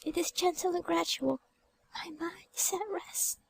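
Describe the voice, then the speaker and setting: A woman playing a gentle, pious young girl who is dying, speaking softly and weakly in a dramatic audiobook reading. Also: very high-pitched, unhurried, full character voice, rough recording. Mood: serene, frail. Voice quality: soft, weak, breathy. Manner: calm, gentle, tender.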